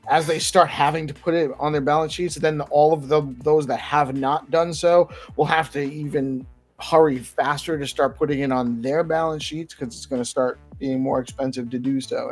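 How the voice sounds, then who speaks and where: A man chatting casually at a party stream, rambling with many fillers and restarts. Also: mid-range voice. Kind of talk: casual chat.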